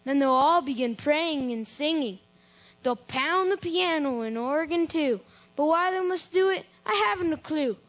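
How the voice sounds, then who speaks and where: A woman's voice: high voice, lively intonation, measured pace, voice pushed up. A woman voicing a grumpy, scheming old man who barks and sneers his rhymed lines in a storybook. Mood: grumbling. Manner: barking, sneering rhymed delivery. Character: grumpy, scheming old male church-hater.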